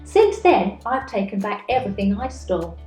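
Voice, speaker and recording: A woman's voice: mid-range voice, normal speed, soft background music, lightly acted. Woman playing a chatty magpie in a roomy echo. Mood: contented. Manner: expressive, confiding storytelling. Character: reformed thieving magpie, chatty, nosy storybook bird.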